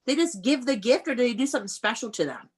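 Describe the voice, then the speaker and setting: A woman's voice: a little high, expressive, quick pace. An American woman teaching young children in an interactive read-aloud over a video call, praising and prompting them with questions. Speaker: elementary school teacher.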